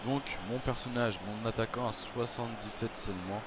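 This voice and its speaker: A man's voice: fairly low pitch, fairly even intonation, normal speed, heavy background noise. A man with a cold, chatting loosely through gameplay commentary with a stuffy, coughing voice. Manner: rambling, chatty, self-interrupting. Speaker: gaming video host.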